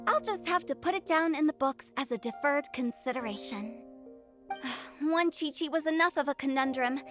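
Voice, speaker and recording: Playful young funeral director, high voice, sardonic, theatrical, breezy, video game acting. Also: female voice, a bit bright.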